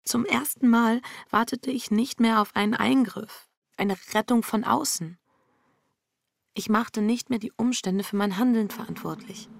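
An actress playing a reflective, troubled married woman in an intimate, hushed radio drama. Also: a little high, measured pace, creaky, no room sound, clean studio sound. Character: reflective, troubled married woman facing her fear and guilt. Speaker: lead actress and first-person narrator.

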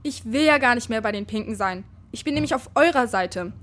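Earnest, high-voiced girl. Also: expressive, fairly quick, fairly bright tone. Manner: earnest, insistent. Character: earnest, eager schoolgirl turned double agent. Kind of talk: children's radio play acting.

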